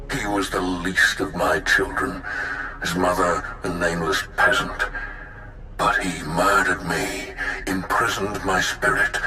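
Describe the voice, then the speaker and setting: Casting: imprisoned ancient Sith spirit, very low and measured, imperious, bitter, grandiose game performance. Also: male voice, exaggerated voice. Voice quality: deep, forceful. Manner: grandiose, slow, deliberate. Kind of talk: dramatic video game acting. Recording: echoing, with music underneath.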